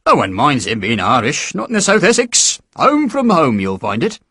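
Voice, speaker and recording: Big jolly sergeant talking up the army, high and bouncy, super dry sound, a guy putting him on. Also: wildly swooping pitch, moderate pace, bright, forceful tone, husky, no echo, full character voice. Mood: jovial. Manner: jovial, wheedling, theatrical. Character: boastful hearty recruiting sergeant, older man.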